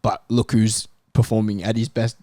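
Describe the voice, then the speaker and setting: A man's voice: on the low side, flat delivery, moderate pace, dark tone, no room sound, clean studio sound. A man chatting casually about football as a podcast panellist.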